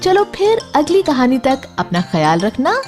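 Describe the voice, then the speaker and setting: A woman tells a children's story in a fairly high, very animated voice. She reads slowly and expressively, as if to young children. Faint music plays behind her. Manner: expressive, addresses children directly. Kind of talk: scripted bedtime story narration.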